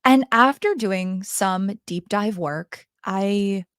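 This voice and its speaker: A woman with a hoarse, raspy voice chatting straight to her viewers in a casual album review vlog, speaking into a handheld microphone. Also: medium pitch, slow pace, fairly bright tone, no echo, clean studio sound. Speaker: music reviewer.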